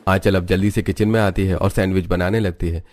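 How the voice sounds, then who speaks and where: A man's voice: low voice, fairly even intonation, quick pace, darkish tone. A man narrating a story from a script.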